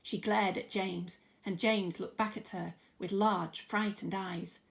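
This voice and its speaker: A woman's voice: medium pitch, steady tone, takes it slowly, vocal fry. Woman reading a children's story expressively. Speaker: teacher reading a story aloud.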